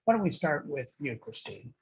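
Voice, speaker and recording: A man's voice: on the high side, sing-song, moderate pace, creaky, a little mellow, husky. A man moderating a panel discussion who often restarts his questions as he asks them, speaking over a video call.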